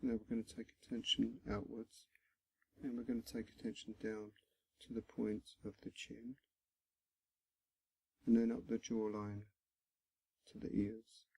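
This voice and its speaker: A man guiding a body scan meditation in a gentle, instructional manner, pausing often between short phrases, with a low, husky, creaky voice. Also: completely flat delivery, no echo, rough recording. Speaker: meditation guide.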